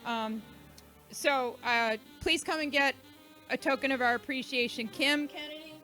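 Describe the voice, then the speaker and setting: Bright, high-voiced woman hosting an event. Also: a little slow, soft background music. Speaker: event host and organiser. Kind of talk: informal thank-you speech to a live audience.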